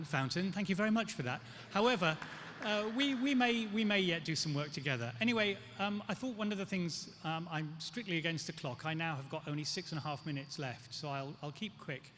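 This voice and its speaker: A man's voice: sits high, a bit bright. A middle-aged man from England, raised in Nigeria, giving a chatty, joking conference speech. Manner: chatty, joking and self-deprecating. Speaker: guest speaker, PR executive. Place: large event hall. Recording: long hall reverb, faint room noise.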